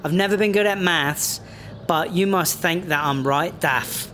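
A man plays a wary British rapper with a sly streak in a comedic audio drama. The voice sits a little high for a man. Faint ambience runs behind it. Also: measured pace, bright, forceful tone, a little hiss or hum. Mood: amused, suspicious.

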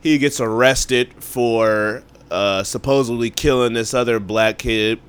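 Chill podcast dude, smooth clear voice, just rambling about movies. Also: male voice, medium pitch, fairly even intonation, measured pace. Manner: loose, rambling, slangy. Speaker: podcast co-host. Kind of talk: casual movie chat.

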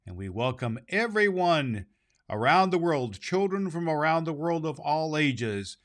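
A man's voice: on the high side, very animated, measured pace, fairly bright tone. A man playing a jolly, boastful old Santa Claus, hosting a children's holiday show in an emphatic, conversational way and repeating words for effect. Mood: jolly, booming.

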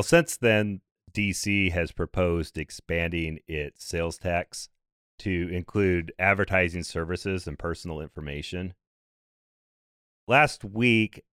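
A slightly hoarse, raspy man in his thirties or forties hosts a podcast in a low voice. He talks briskly and conversationally, with a light dry humor. The sound is close and dry. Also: fairly bright tone, dry recording. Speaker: podcast host. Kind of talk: scripted podcast explainer.

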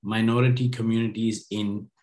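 A male interviewer asks his questions hesitantly, pausing on "um" and "uh" as he works his way toward the point. His voice sits somewhat low for a man. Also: flat delivery, darkish tone. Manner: hesitant, halting, with many fillers.

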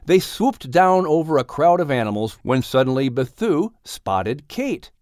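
Male audiobook narrator, very lively intonation, dry close sound, scripted storytelling. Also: a little high, measured pace, dry recording.

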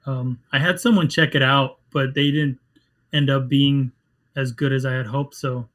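A man whose first language is Spanish, a game developer talking casually in an interview, halting with many restarts and fillers. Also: medium pitch, steady tone, fairly bright tone.

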